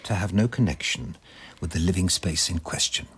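A man's voice: low-pitched, moderate pace, a bit bright, slightly rough, heavily acted. An actor in a radio drama playing a cold, officious male Soviet district inspector, formal and condescending. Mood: cool, officious.

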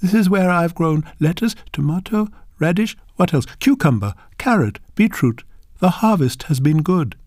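A man's voice: animated, moderate pace, darkish tone, dry recording, studio quality, close to an everyday voice. A man voicing a shy elderly grandmother gardener who speaks in a quavering, earnest voice, listing her crops and tools one by one. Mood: shy, proud. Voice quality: quavering, higher voice.

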